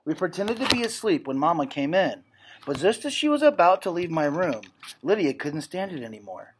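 A man's voice: a little high, very animated, moderate pace, background noise. A man in his thirties reading a storybook aloud like a teacher, telling it expressively and acting out the dialogue. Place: indoor classroom. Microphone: webcam or laptop at desk distance.

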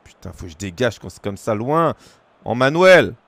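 A man in his thirties from France, streaming a football game and chatting casually with viewers, breaking into shouts at big moments. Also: mid-range voice, normal speed. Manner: excitable, shouts at goals, chats casually with viewers. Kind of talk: live gaming commentary to chat. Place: small room at home.

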